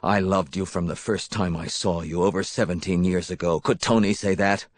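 A man's voice: sits low, steady tone, normal speed, no echo, studio quality, pitched below the performer's own voice, lightly acted. A man voicing a hateful, self-pitying young man, a jilted obsessive lover in a story, bitter and accusing. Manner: bitter, accusing, pleading, worked up.

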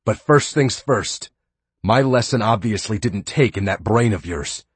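A man's voice: on the low side, animated, normal speed, slightly rough, dry recording. A man voicing an obsessive, violent young man who growls and spits threats. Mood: menacing.

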